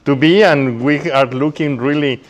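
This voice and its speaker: A man's voice: a little high, moderate pace, muffled recording. A man who speaks English as a second language hosts a casual live interview in an encouraging way. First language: not English. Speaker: host, interviewer. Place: community tech workspace, live event.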